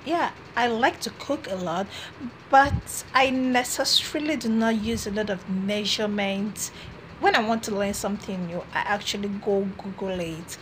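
A woman's voice: medium pitch, fairly bright tone, a little hiss or hum, low-quality recording. A young woman vlogging to her phone camera from a small room, chatting in a casual, encouraging way and often restarting her phrases. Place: small room indoors, seated on a red couch. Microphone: phone camera at arm's length.

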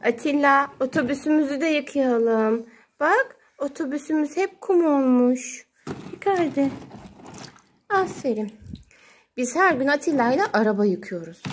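A woman's high voice speaks in playful baby talk, as a mother does with a small child. She narrates the game in a sing-song way, repeating little words. The recording is a homemade one with clear background noise. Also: lively intonation, normal speed. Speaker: mother playing with her young child. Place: indoors at home beside water play tubs. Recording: noisy home phone recording with clicks.

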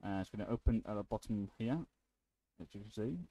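Man, low voice, very muffled dark tone, informal tutorial presenter, offhand delivery. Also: brisk, creaky. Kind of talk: casual software tutorial, unscripted.

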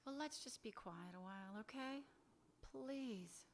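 A woman's voice: fairly high pitch, lively intonation, unhurried, slight background noise. A woman reading as a weary, impatient lover. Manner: soft-spoken, tired, curt. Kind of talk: live fiction reading of dialogue. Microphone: table mic on a stand.

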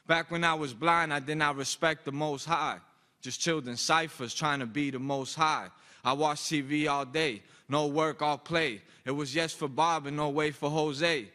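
A young man speaks in a bright, forceful voice pitched a little high. He delivers like a spoken-word poet, rhythmic and emphatic. His voice rings out through a microphone with the long reverb of an auditorium. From Boston, United States. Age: young adult. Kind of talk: live spoken-word poetry performance. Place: large auditorium stage, indoors. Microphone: microphone on a stand.

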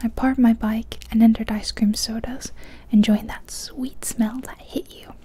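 Woman whispering a story, mellow tone. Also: a little high, unhurried, breathy edge. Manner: soft, steady narration. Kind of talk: whispered ASMR story reading.

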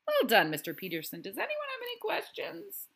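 A woman's voice: extremely high pitch, wildly swooping pitch, fairly quick, gravelly, fairly bright tone, voice pushed up, heavily acted. A woman voicing an encouraging male math teacher in a storybook, polite and instructive. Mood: approving.

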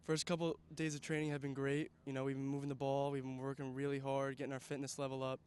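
A man's voice: medium pitch, completely flat delivery, fast talker, darkish tone, smooth. A young man from New Jersey answering interview questions earnestly on an outdoor soccer field, holding a mic. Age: young adult. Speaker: college soccer recruit. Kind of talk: casual on-camera interview. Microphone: handheld mic.

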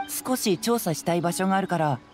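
Calm, self-assured young detective. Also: male voice, high-pitched, a little fast. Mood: composed. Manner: composed, casual, analytical, self-assured. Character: calm, clever, confident young male detective. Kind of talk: anime game voice acting. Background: music.

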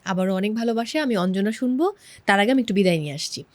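A woman's voice: medium pitch, animated, quick pace, darkish tone, dry recording. A woman with a Bangladeshi accent presenting a TV interview politely and appreciatively as the host. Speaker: TV talk show host.

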